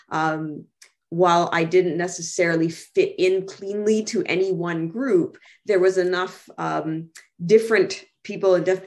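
A woman's voice: lowish voice, creaky. A middle-aged Canadian woman from Toronto, sharing personal reflections on a video call from a room at home. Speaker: guest speaker. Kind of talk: reflective personal talk in an online panel. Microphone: video call microphone.

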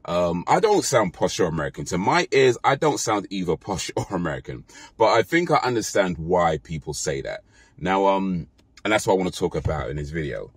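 British man, deep voice, dry close sound, chatty vlog from a car, loose and rambling. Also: a little fast, breathy edge, no room sound. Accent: British English. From Britain. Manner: relaxed, rambling, with fillers and self-corrections. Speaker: vlogger. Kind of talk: casual talk to camera. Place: parked car, indoors. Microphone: phone camera held close.

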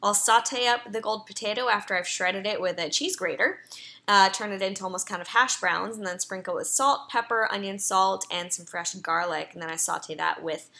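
A woman's voice: mid-range voice, moderate pace, gravelly, sharp tone. A young woman, a personal trainer, chatting casually in a voice-over as she walks through her online grocery order, with an upbeat manner and frequent ums and uhs.

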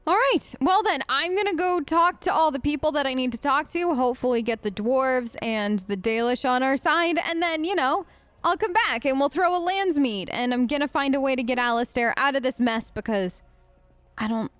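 A woman's voice: high voice, normal speed, fairly bright tone, no room sound. A woman gamer improvising casual role-play in an informal, tentative way, as if thinking aloud. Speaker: gamer role-playing her character. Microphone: close microphone, voice-over on gameplay.

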